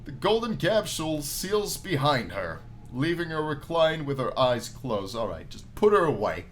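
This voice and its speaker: A man playing a game on his channel, reading the story aloud as animated narration and dropping in casual, jokey asides. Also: fairly high pitch, moderate pace, soft background music. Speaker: gaming YouTuber reading a visual novel aloud. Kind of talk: let's play commentary mixed with dramatic read-aloud narration.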